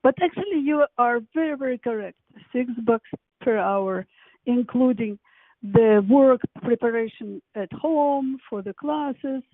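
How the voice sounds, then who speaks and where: A woman in a phone conversation with deliberate, formal phrasing, heard over an echoey, narrow phone line. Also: on the high side. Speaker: prank call recipient.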